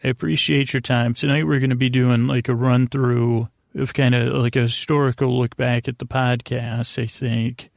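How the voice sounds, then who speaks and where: A man hosting a bedtime podcast in a rambling, halting monologue, stammering and interrupting himself with whimsical silliness. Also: mid-range voice, little pitch movement, no echo, studio quality. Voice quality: gravelly, creaky. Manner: whimsical, silly wordplay. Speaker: podcast host.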